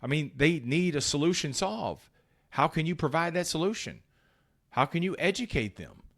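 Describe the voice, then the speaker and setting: A man's voice: a little high, animated, normal speed, vocal fry, fairly bright tone. Blunt, punchy male sales coach. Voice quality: powerful voice. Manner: blunt, profane, emphatic, punchy short phrases. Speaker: podcast host and sales coach. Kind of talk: motivational solo talk.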